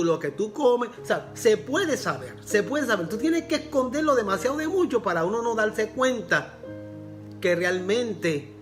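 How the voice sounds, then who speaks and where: Pastor, man with a Puerto Rican accent, earnest repeating sermon delivery, faint music bed. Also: high voice. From Puerto Rico. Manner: repeats phrases for emphasis, earnest preaching.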